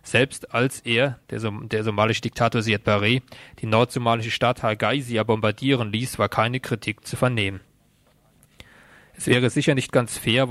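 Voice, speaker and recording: Male reporter, mid-pitched, narrating a prepared news feature in a dry acoustic. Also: a bit bright, no echo. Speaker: radio reporter.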